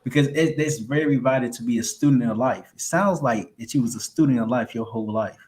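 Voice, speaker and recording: A man's voice: mid-range voice, fairly quick, a little mellow. A man hosting a conversational interview, speaking reflectively and repeating phrases for emphasis. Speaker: podcast host.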